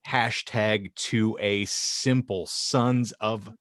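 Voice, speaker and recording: A man's voice: lowish voice, fairly even intonation, drawn-out words, fairly bright tone, no echo, clean studio sound. A man playing a despotic, contemptuous president, making pompous, theatrical speeches in an improvised comedy podcast bit. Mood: stern, imperious. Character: despotic ranting US president, male, gleefully contemptuous.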